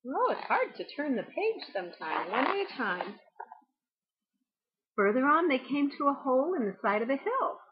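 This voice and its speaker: A woman's voice: high-pitched, very animated, moderate pace, lo-fi sound, noisy background. An older woman, a grandmother, reading a storybook to a child with casual asides.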